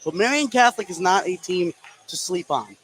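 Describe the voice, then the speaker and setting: Higher-voiced male basketball colour commentator. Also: lively intonation, a little fast, a bit bright, low-quality recording. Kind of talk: sports analysis commentary. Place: indoor high school gym. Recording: light gym noise behind. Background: faint gym ambience.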